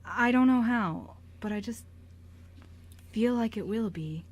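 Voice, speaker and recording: A woman playing a gentle, serene male ghost in an amateur radio drama, speaking sincerely and haltingly. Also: fairly high pitch. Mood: serene, reassuring.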